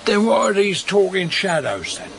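A hearty ghost from another age in a radio play. His voice is fairly high and gravelly, with roguish, old-fashioned turns of phrase, over faint noise. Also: very animated, moderate pace, fairly bright tone, full character voice. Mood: breezy, evasive. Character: jovial archaic ghost man, a hearty pub drinker. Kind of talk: audio drama acting.

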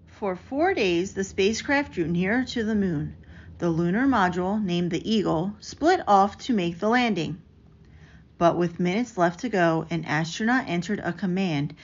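A woman reads a children's picture book aloud in an expressive, carefully enunciated storytelling manner. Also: fairly low pitch. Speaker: storybook reader. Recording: dull lo-fi audio with clear background noise.

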